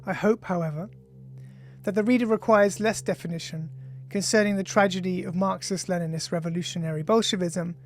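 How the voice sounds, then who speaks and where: An author reading their own nonfiction audiobook in a measured, formal, deliberate way. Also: medium pitch, steady tone. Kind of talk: scripted audiobook narration of an essay.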